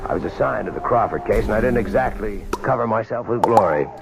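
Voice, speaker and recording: An actor playing a dogged police lieutenant in an old radio drama, talking in a patient, measured way. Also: male voice, expressive, vocal fry, very noisy. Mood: recounting. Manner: patient, low-key persuasion, measured pauses. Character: dogged male police lieutenant, calm and persistent. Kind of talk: 1970s radio drama acting.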